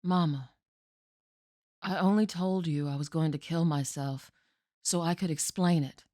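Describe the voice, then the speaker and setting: A woman acting a despairing adult daughter who talks quietly to her mother, pleading and sometimes in a whisper. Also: low-pitched, fairly even intonation, a little slow, no room sound, studio quality. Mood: pleading, resigned.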